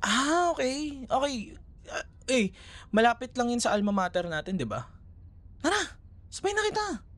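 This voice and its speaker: A man's voice: very high-pitched, big pitch swings, normal speed, long pauses, a bit bright, heavily acted. A man with a Filipino accent acting a friendly, teasing ex-boyfriend in an intimate, casual audio roleplay, sometimes hesitant in his phrasing. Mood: friendly, inviting. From Philippines.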